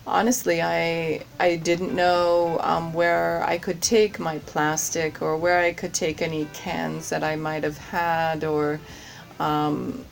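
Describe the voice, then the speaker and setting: A woman's voice: deep voice, flat delivery, takes it slowly, gravelly, faint music behind, poor audio. A woman answering interview questions about her work, hesitant, with many fillers and restarts. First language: English. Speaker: course participant, general manager.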